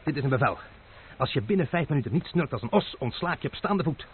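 A curt, commanding gentleman on the run, as a man acts him out, giving his orders briskly. His voice sits a touch high and swings widely from line to line. The recording is tinny and phone-narrow, with a faint hiss underneath. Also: animated, close to an everyday voice. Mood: weary. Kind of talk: radio drama acting. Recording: tinny old radio play with faint hiss.

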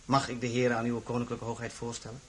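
An actor playing a ceremonious Swedish royal chamberlain in a radio play, making formal, stately announcements. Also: male voice, mid-range voice, monotone, quick pace, fairly bright tone, a little hiss or hum, natural voice.

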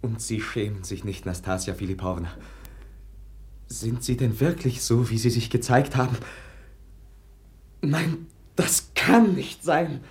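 An actor playing a gentle, compassionate young prince, pleading and sincere, in a 1950s German radio drama. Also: male voice, medium pitch, measured pace, pauses often, lightly acted. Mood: reproachful, sincere.

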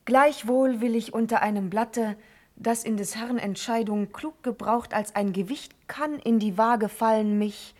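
An actress playing a noble young princess in a classical radio drama, speaking solemn, declamatory verse with passion and pride. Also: female voice, on the high side, measured pace, a little mellow. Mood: grave, resolved.

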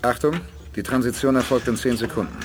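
An actor playing a commanding, principled leader of a space expedition in a science fiction radio play. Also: male voice, medium pitch, brisk, background noise, close to an everyday voice. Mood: commanding, satisfied.

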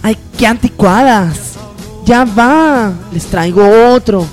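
Bossy, lively sister played by a woman for radio soap, animated high voice, faint music beneath. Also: takes it slowly. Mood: eager. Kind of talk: amateur radio soap opera acting.

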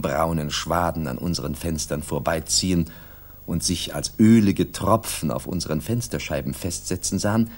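Deep, husky gentleman narrator. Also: full character voice. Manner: measured, literary storytelling. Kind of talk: scripted narration in a 1960s radio play.

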